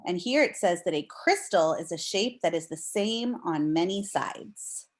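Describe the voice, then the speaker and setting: A woman teacher leading a read-aloud lesson for young children, explaining things simply and reading with deliberate expression. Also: fairly low pitch, lively intonation.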